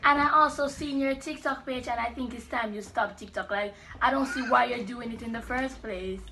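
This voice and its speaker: A woman's voice: a little high, moderate pace, crowd noise. A young woman with a Jamaican accent, talking casually and making prank calls with friends. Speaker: prank caller. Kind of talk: casual chat and prank calling.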